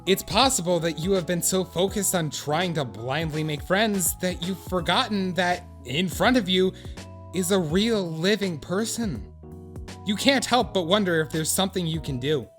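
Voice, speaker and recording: A man playing an earnest, excitable narrator of a comic fan drama, theatrical and mock-solemn in his delivery. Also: sits high, moderate pace, lightly acted. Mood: tender, solemn. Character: earnest, excitable male narrator of an awkward would-be friend. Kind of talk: dramatic second-person scripted narration with comic profanity. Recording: music under the voice. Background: background music.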